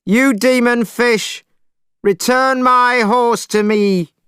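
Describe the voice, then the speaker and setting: A man voicing an impatient, fierce monkey hero who shouts and scolds. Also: very high voice, steady tone, slow pace, clean tone, dry recording, clean studio sound, pitched above the performer's own voice, exaggerated voice. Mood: angry shouting. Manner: shouting, hectoring.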